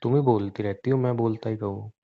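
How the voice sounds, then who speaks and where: A young man playing an obtuse, teasing boyfriend in a staged phone-call argument, deadpan and feigning innocence, on a dull call recording. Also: lowish voice, a little fast, lightly acted.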